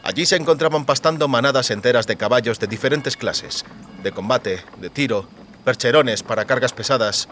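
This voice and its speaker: A man reading scripted literary narration for an audiobook in a measured, descriptive manner. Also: mid-range voice, fairly bright tone, slight background noise.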